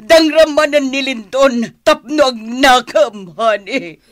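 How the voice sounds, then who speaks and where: An actress playing a manipulative, wealthy woman who plays the victim, with a Filipino accent and drawn-out, wheedling delivery in a radio drama. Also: high-pitched, no echo, studio quality. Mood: tearful complaining. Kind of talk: melodramatic radio drama acting.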